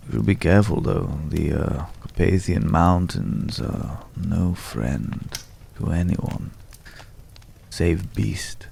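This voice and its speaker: A man performs a world-weary former monster hunter with a deep voice full of heavy gravel. He is suave and courteous, talking slowly and intimately as in an ASMR roleplay. A faint bed of ambient noise sits behind him. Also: animated, full character voice. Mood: smooth, cautious greeting. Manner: measured, hushed, hesitant pauses, suavely polite.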